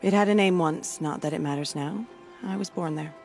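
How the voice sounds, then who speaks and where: A woman's voice: fairly low pitch, brisk, creaky, a little mellow. A proud female war chief in a game cutscene. She is stern and weary but steadfast, with music playing behind her. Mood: somber. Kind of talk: video game cutscene acting.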